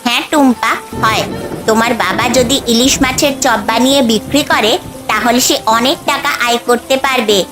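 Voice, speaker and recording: A woman's voice: a little high, normal speed, close to an everyday voice. A woman voicing a kindly, advising magical bird in a Bengali cartoon story. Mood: helpful. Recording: background music over the voice.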